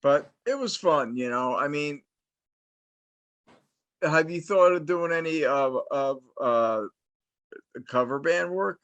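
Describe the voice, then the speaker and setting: A middle-aged man from New Jersey talks slowly and at an easy, unhurried pace. He rambles through his stories, full of restarts and fillers. His voice sounds dry and close, with no room in it. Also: fairly high pitch, a bit bright, dry recording. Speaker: host, interviewer. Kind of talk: casual interview chat, long storytelling.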